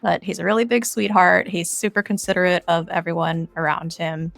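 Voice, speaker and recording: A woman's voice: on the low side, steady tone, fairly quick, creaky, fairly bright tone, no echo. A woman giving casual, informal explanations in a podcast interview as a game creator. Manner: informal, explanatory, peppered with "like" and "kind of".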